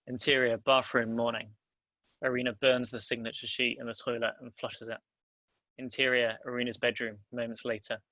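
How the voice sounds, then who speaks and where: A man's voice: medium pitch, bright, forceful tone, dry recording, rough recording. A man reading stage directions as the narrator of a screenplay.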